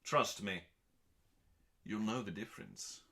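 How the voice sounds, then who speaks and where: A man reading scripted comic narration in a mock-dramatic, pompous manner, pausing deliberately for effect. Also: lowish voice, bright, forceful tone. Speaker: host reading comedic cookbook recipes.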